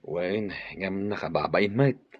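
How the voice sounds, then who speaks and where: A man's voice: low voice, takes it slowly. A man acting a bashful, hesitant household worker in a radio drama. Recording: muffled radio drama recording.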